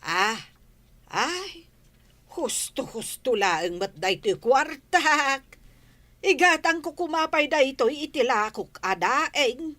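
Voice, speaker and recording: An actress with an Ilocano Filipino accent playing a vain, chatty village woman in a radio drama, full of drawn-out exclamations. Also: high voice, expressive, pushed and bright, full character voice. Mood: fretful.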